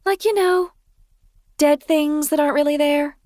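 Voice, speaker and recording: A woman reading a novel aloud, voicing a bubbly, flustered young woman in a high, gushing voice. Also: fairly even intonation, a little slow, a little mellow, no echo, studio quality. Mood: apologetic gushing.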